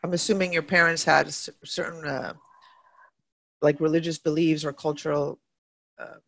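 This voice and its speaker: A woman's voice: sits low, fairly even intonation, normal speed, vocal fry, a bit bright, no room sound. A woman hosting a podcast, chatty and enthusiastic, using casual slang. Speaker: podcast host.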